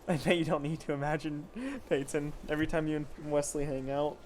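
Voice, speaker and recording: A man's voice: fairly high pitch, little pitch movement, fairly quick, a little hiss or hum. A man casually reading fan fiction aloud as an amateur story reader, stumbling over the text and correcting himself.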